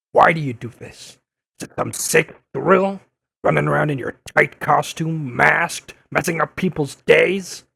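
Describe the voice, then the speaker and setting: Man acting a gravelly, combative old newspaperman. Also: fairly high pitch, takes it slowly, bright, forceful tone, dry recording, studio quality, heavily acted. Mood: scornful. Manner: blustering, insulting, insistent. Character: gruff, combative older male newspaperman turned blogger. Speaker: investigative reporter interviewing a superhero. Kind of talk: audio drama dub acting.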